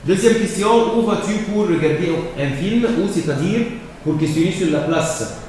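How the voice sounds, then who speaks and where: A man walks through French exercises like a teacher, slow and halting, often repeating himself. His fairly high voice sits far back in a reverberant room with long echo. A faint hum of room ambience runs underneath. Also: lively intonation, a little mellow. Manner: hesitant, repeats words and fills pauses with euh.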